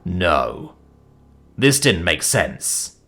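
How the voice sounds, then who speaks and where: Guy doing a protective human soldier type, raspy and urgent, defiant, audiobook adventure. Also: deep voice, sing-song, drawn-out words, soft background music, full character voice. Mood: shaken. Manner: urgent, forceful. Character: young human male fighter, protective, gruff, defiant.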